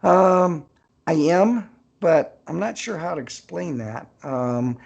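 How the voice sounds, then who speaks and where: A man's voice: fairly high pitch, animated, takes it slowly, vocal fry, darkish tone, lo-fi sound. An older man chatting casually as an author guest on a podcast, hesitant and rambling as he thinks aloud. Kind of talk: casual unscripted interview chat.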